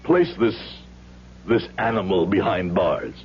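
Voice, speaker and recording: An actor playing a proud, principled immigrant shoemaker in a radio drama, speaking formally and emphatically. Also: male voice, sits low, very animated, soft background music. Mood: indignant. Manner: formal, emphatic, deliberate speech. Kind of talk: old-time radio drama acting. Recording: muffled old radio recording.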